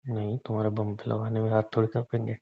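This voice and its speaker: A man's voice: on the low side, monotone, fast, slightly rough. Man playing exasperated husband, teasing, argumentative, conversational, domestic audio drama, lo-fi muffled recording. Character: teasing, exasperated husband bickering with his injured wife. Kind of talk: improvised-sounding domestic audio drama, casual Hindi banter.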